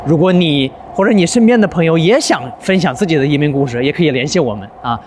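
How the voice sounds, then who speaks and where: A male channel host narrating a vlog and talking directly to viewers in a lively way, recorded outdoors on a residential street. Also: a little high, quick pace. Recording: outdoor vlog audio with traffic noise.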